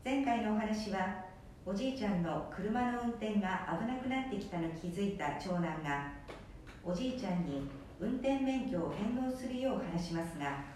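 A woman hosting a radio program, gently explaining and introducing the drama. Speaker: radio show host. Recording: echoey room, distant mic, faint rumble.

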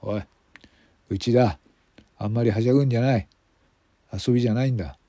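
A man playing a gruff, blunt senior male police detective in a radio drama, with rough, commanding speech. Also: mid-range voice, steady tone, fairly quick, warm tone, no echo, natural voice. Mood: stern.

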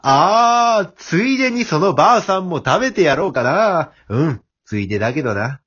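A man's voice: fairly high pitch, big pitch swings, normal speed, fairly bright tone, highs cut off, exaggerated voice. A man playing a cocky, scheming wolf who flusters easily, in an amateur radio drama with theatrical delivery. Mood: gloating, scheming. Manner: theatrical, menacing chuckles and smooth-talking.

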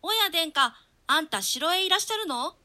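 Cheeky child prince for a radio Shakespeare, woman actor: high-pitched, bright-edged, theatrical and too clever for his age. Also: lively intonation, moderate pace, full character voice. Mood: frightened, pouting. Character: cheeky, precocious little boy prince. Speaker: stage reader in a troupe's radio reading. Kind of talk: theatrical Shakespeare reading in archaic Japanese translation.

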